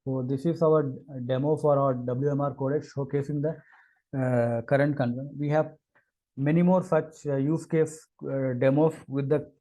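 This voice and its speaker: A man narrating an unscripted technical demo as an engineer, with halting, hesitant phrasing and repeated words. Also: mid-range voice, steady tone, warm tone, poor audio. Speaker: engineer presenting a product demo.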